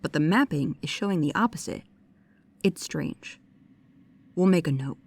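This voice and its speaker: Woman playing a low-voiced bookish ghost investigator. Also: expressive, normal speed, creaky, a little mellow, breathy edge, exaggerated voice. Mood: pedantic, curious. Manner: pedantic, hesitant, earnest. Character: bookish, precise, nervous young woman paranormal investigator. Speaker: paranormal investigator. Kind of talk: immersive audio roleplay addressed to the listener.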